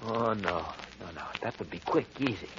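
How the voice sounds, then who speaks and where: A man's voice: fairly low pitch, expressive, normal speed, fairly bright tone. An actor playing a ruthless young male escaped officer who talks in a cold, commanding, threatening way, in 1940s radio acting style. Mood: menacing. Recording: dull, faintly hissy 1940s radio.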